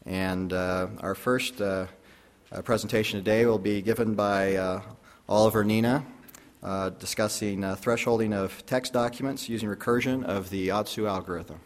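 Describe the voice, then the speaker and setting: A man with a low voice opens a conference session and introduces the next speaker. He speaks haltingly, with frequent uhs and pauses. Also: fairly even intonation. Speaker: session moderator.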